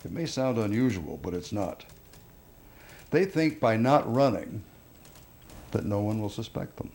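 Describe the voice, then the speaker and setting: An actor playing a police detective who presses suspects with measured, probing questions in a naturalistic film drama. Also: male voice, on the low side, expressive, darkish tone. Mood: matter-of-fact.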